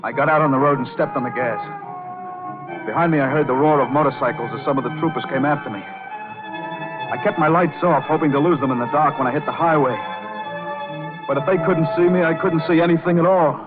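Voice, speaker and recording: A man's voice: fairly high pitch, wildly swooping pitch, brisk. A guilt-dodging, nervous crime narrator from an old radio play. He confesses and pleads with taut urgency, as a man cornered. The sound is narrow and dated, with music playing behind him. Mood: tense, urgent. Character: anxious, self-justifying piano player caught in a killing. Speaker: narrator and lead character. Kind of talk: old-time radio crime drama acting, first-person narration. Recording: muffled vintage radio recording with music.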